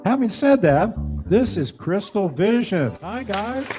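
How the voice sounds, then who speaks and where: A man hosting a live music show, chatting casually and haltingly as he interviews guests, with chuckles between phrases. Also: sits high, very animated.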